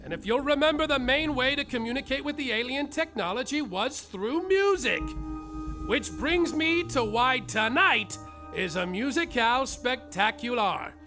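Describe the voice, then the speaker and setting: A man's voice: very high voice, animated, moderate pace, music in the background. An actor playing a grandiose, drawling male detective who narrates his own story on a live theater stage.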